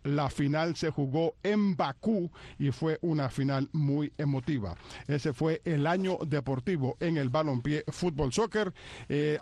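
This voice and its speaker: An upbeat radio host with a slightly high voice runs a sports segment in a polished announcer style. His voice sounds dry and close to the mic. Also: no echo. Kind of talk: hosting a sports talk segment.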